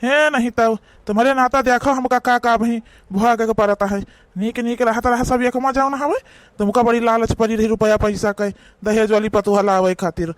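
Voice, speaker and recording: A man's voice: very high-pitched, steady tone, moderate pace, no echo. A man with an Awadhi accent voicing a sarcastic, grumbling old father-in-law in a cartoon. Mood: sarcastic, scolding. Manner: nagging, sarcastic. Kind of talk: amateur cartoon voice acting.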